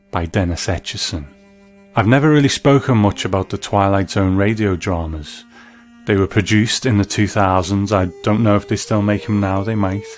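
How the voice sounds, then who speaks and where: A man with a lowish voice presents scripted commentary as a host. He sounds reflective and conversational, with music playing faintly behind him. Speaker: host and reviewer.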